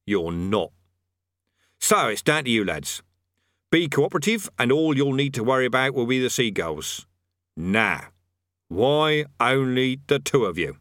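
A man voicing a smug, rough-spoken army sergeant who gloats with cheerful menace. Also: mid-range voice, very animated, measured pace, fairly bright tone, no echo, studio quality, pitched above the performer's own voice. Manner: coarse colloquial speech, gloating, cheerful menace.

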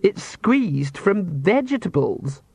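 A man's voice: high voice, sing-song, takes it slowly, vocal fry, a little mellow, husky, dry recording, studio quality, pitched above the performer's own voice, full character voice. A man voicing a sullen, scornful teenage vampire daughter with whiny, drawling contempt. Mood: disgusted.

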